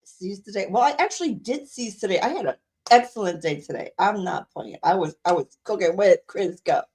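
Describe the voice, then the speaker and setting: A woman's voice: mid-range voice, expressive, fairly quick, a little mellow, husky. A woman from the Caribbean, co-hosting a podcast in casual chat, laughing often and repeating herself. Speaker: podcast co-host.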